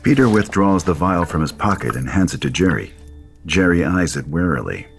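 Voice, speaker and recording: A man reading scripted dramatic stage directions as a narrator, in a deliberate storytelling manner. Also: sits low, big pitch swings, creaky, faint music behind.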